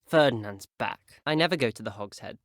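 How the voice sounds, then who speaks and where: A young man with a British accent voicing a chatty, wry, opinionated teenage schoolboy wizard in a video game, in an expressive, slightly posh conversational way. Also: on the low side, brisk, no echo, studio quality. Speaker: game voice actor. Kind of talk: scripted video game character barks.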